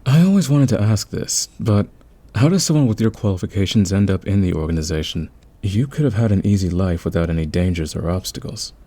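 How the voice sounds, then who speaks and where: A man plays an easygoing thief in an audio roleplay, curious about his teammates. His voice is very low and warm, with a relaxed, conversational and encouraging delivery. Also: fairly quick.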